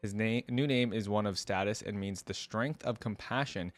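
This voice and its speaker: Low-voiced man doing casual reaction commentary. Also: normal speed, no echo. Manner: informal, conversational asides between read-aloud passages. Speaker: reaction video host. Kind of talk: casual reaction commentary mixed with reading a wiki aloud.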